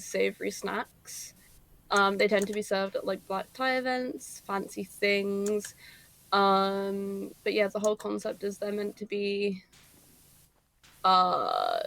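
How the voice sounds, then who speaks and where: A woman's voice: mid-range voice, creaky, low-quality recording. A young woman with an English RP accent, streaming a game and chatting casually, explaining things at length with plenty of ums. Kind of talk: casual gaming chat.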